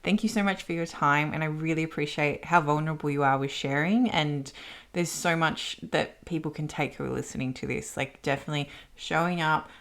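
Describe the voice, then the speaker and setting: Female interviewer, notably low register, conversational and affirming. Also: fairly quick. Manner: affirming, appreciative. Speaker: podcast host.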